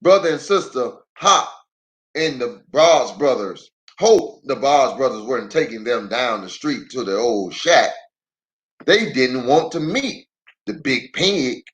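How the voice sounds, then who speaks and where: A man reading a children's story aloud in an expressive storytelling style. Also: mid-range voice, takes it slowly, rough recording.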